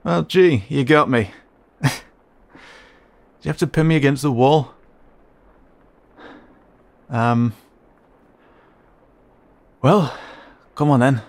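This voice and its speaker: A man's voice: medium pitch, gravelly. A man playing a flustered, cheeky raccoon boy. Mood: cheeky, defensive. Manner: flustered, muttering, sarcastic, halting. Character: cheeky, skittish young raccoon boy, grumbling intruder. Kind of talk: intimate audio roleplay.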